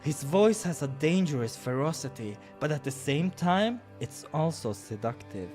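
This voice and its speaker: Animated man narrating a game playthrough. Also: a little high, normal speed. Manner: playful, theatrical, giggly. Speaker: gaming host narrating a playthrough. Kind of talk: visual novel read-aloud with casual reactions. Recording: music behind the voice.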